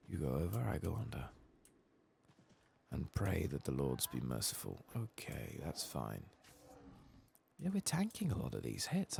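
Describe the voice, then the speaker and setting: A man giving soft-spoken, sometimes whispered ASMR commentary as he plays a video game, murmuring to himself and casually swearing, close to the microphone. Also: a bass voice, creaky, a little hiss or hum. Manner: murmured running self-talk, casually sweary. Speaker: streamer playing a video game.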